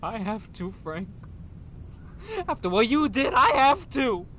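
A bereaved crime boss out for revenge. His delivery is emotional and threatening, leaping widely in pitch as he curses. It is audio drama, with clear noise and rumble behind the voice. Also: expressive, takes it slowly, a bit bright, full character voice. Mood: anguished rage. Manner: menacing, emotional, swearing. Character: grieving, vengeful gangster father with armed men.

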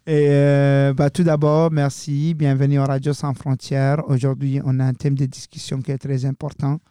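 A man's voice: mid-range voice, level tone, normal speed, mellow, clear, resonant tone, dry recording, studio quality. A young man hosting a student radio show, talking loosely and casually and slipping in English words. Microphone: studio microphone.

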